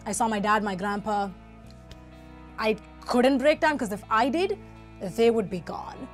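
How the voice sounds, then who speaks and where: A woman's voice: on the high side, measured pace, faint music behind. Young woman from Singapore, candid interview. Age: young adult. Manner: candid, reflective, conversational. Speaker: interviewee, university student. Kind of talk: personal interview recollection.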